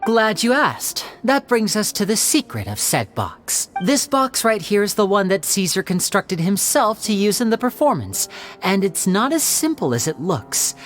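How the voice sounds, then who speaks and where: A suave young stage magician. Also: male voice, high-pitched. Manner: smooth, measured storytelling explanation. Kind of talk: video game cutscene dialogue. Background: soft background game music.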